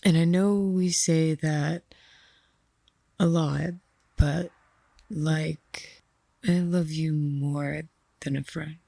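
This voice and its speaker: A woman playing an anxious, lovesick young best friend in an audio drama, speaking in an earnest, halting way. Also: low-pitched, steady tone, vocal fry, mellow, no echo, full character voice. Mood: nervous, vulnerable.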